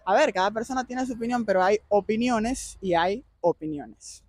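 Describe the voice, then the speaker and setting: Cuban guy w a high voice, youtube street interviewer, chatty n familiar. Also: moderate pace, a little mellow, no echo. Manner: familiar, addresses people with terms of endearment. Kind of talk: casual street interview and commentary to viewers.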